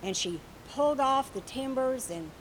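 A woman with a raspy, slightly high voice tells a Bible story to children. She asks them questions and reads with lively expression. Her voice stays warm-toned and easy to follow. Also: moderate pace, slight background noise, poor audio. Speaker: children's church teacher.

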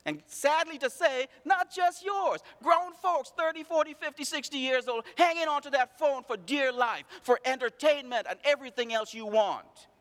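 A man's voice: extremely high pitch, normal speed, hardly any pauses, fairly bright tone, in a large hall. A man preaching a sermon, calling out his congregation with rhetorical questions. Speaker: pastor.

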